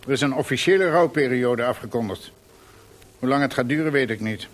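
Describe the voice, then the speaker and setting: An unmoved theatre manager played by a male radio actor, speaking at a middling pitch. He is matter-of-fact and condescending, with firm authority. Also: a little fast, close to an everyday voice. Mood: dry, unmoved. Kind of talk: radio play acting.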